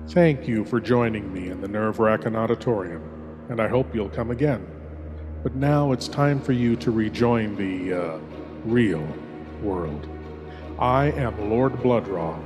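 A man hosting horror stories with theatrical, ominous, deliberate narration full of pauses. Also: fairly low pitch. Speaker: horror host. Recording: long cavernous reverb. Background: faint music.

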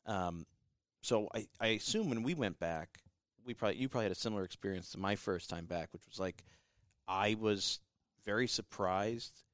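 A man co-hosts a podcast in a friendly, reflective way, musing on his own experiences. His voice sits somewhat low. It is recorded close and dry. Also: smooth, no echo. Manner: friendly, reflective, frequent laughs and "yeah" replies. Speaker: podcast co-host. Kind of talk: casual podcast conversation.

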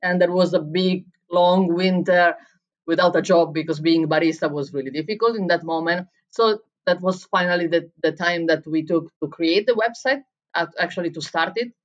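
A woman from Italy with an Italian accent, answering interview questions as a barista and coffee coach, often pausing and restarting as she looks for words. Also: lowish voice, highs cut off. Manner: searching for words in English, frequent restarts.

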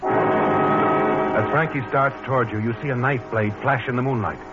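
An actor playing an ominous, knowing narrator who addresses the guilty hero in a 1940s radio thriller. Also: male voice, medium pitch, a little slow, lightly acted. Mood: tense, grave. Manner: knowing, dramatic, addresses the character directly. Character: ominous male narrator needling the guilty protagonist. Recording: muffled old radio recording with music.

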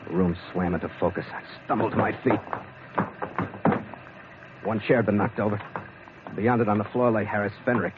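A man's voice: on the low side, fairly even intonation, moderate pace, pauses often, close to an everyday voice. An actor playing a tough male sea captain who narrates his own story in a blunt, clipped way. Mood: narration. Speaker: narrator and lead. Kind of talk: 1940s radio acting. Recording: dull old radio broadcast, loud hiss.

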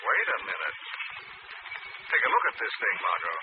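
An actor playing a suave, sardonic gentleman sleuth in a 1940s radio drama. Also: low voice, moderate pace, close to an everyday voice. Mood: intrigued, serious. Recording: tinny, noisy old radio recording.

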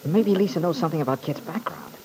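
A man's voice: a little high, fast talker, mellow, natural voice, low-quality recording. An actor in a classic radio soap opera playing an earnest, puzzled, sympathetic young man on an old recording.

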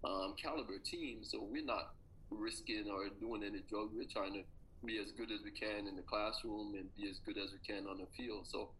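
Bright-voiced Jamaican man telling his story. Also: lowish voice, steady tone, moderate pace, slight background noise, low-quality recording. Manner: earnest, reflective storytelling. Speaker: track coach and former athlete. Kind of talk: recounting personal stories in an interview.

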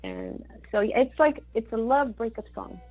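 A woman's voice: a little high, wildly swooping pitch, measured pace, narrow, tinny audio, faint music behind. A woman from Mexico, a singer-songwriter, talking casually in an interview, with many fillers and Spanish words mixed in.

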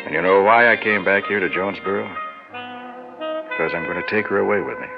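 A man's voice: low voice, fast, music in the background. An actor playing an ardent, self-centered man wooing an old flame, insistent and earnest, in classic radio drama style. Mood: resolved, wistful. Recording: muffled, lightly noisy old radio recording.

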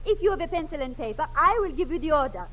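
Coquettish french maid, really high voice, french accent, vintage radio comedy. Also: female voice, animated, quick pace, fairly bright tone. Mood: businesslike. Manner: coquettish, theatrical. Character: flirtatious French maid, coy and effusive. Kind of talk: 1940s radio comedy acting. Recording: noisy vintage radio recording.

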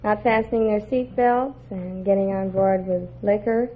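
A woman's voice: medium pitch, normal speed. A young woman answering politely as a contestant on a classic radio quiz show. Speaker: quiz contestant, airline hostess. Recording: dull, noisy vintage radio recording. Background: studio audience.

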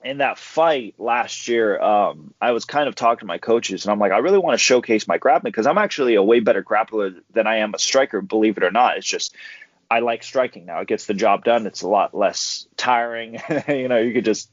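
A young man, a professional fighter, telling stories in a relaxed way as a guest on a casual podcast interview, laughing easily. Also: lowish voice, a little fast, no echo. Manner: laid-back storyteller who laughs easily. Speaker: guest, pro MMA fighter. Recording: dull dry audio.

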